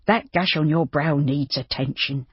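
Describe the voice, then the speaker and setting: A warm-hearted, bustling farmer's wife who fusses over her guests, played by a storybook reader. She talks in a raised, brisk voice with a breathy edge. The sound is dry and a little dull. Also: mid-range voice, no echo. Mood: motherly, brisk.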